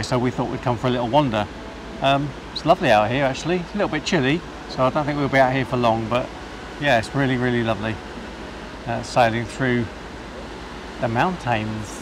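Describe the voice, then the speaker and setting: British man in his thirties, chatty vlogger, mid-range voice, arm's-length camera mic, steady hum of ship noise behind. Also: medium pitch. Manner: chatty, upbeat, filler-heavy. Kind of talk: casual vlog chat. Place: cruise ship deck and indoor atrium. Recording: noisy ship ambience.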